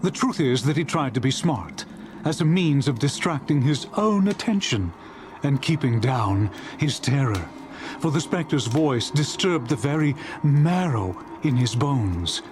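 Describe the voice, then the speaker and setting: A man narrating a dramatized classic story in a theatrical, suspenseful, deliberate way. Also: medium pitch, very animated, noisy background.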